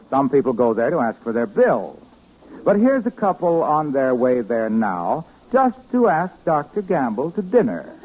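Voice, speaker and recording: A man's voice: fairly high pitch, slight background noise. A man announcing in a scripted 1950s radio style, with a polished salesmanlike delivery. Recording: tinny 1950s radio recording, music behind. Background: music.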